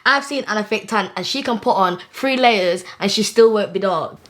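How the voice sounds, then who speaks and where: A woman's voice: mid-range voice, moderate pace, fairly bright tone. A woman chatting in a casual group discussion, informally thinking aloud.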